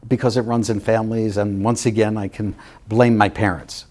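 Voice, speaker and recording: Mellow-voiced friendly TV interviewer man. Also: lowish voice, little pitch movement, normal speed. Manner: friendly, curious questioner. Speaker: talk show host. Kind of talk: conversational TV interview.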